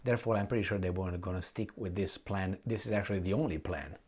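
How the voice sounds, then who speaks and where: A man giving an unscripted, opinionated political commentary, speaking bluntly and colloquially, with halting repetition and rhetorical questions to the listener. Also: deep voice, darkish tone, breathy edge. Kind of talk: unscripted opinionated monologue to viewers.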